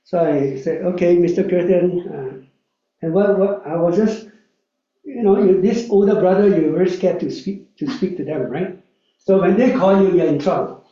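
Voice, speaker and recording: A man's voice: sits high, moderate pace, warm tone. A man in his sixties, a lay preacher telling personal stories in a chatty sermon, with frequent "uh" and "right?" asides. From Malaysia. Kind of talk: storytelling sermon. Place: church hall. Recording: echoey hall, distant mic, dull sound.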